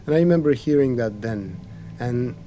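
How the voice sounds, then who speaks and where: A pianist, from Bulgaria, telling a story in a thoughtful, conversational interview. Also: male voice, medium pitch, lively intonation, moderate pace, warm tone, a little hiss or hum. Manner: reflective, storytelling. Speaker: pianist guest.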